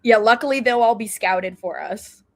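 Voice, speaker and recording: A woman's voice: fairly high pitch, normal speed. Woman casually talking sports analysis. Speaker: podcast co-host.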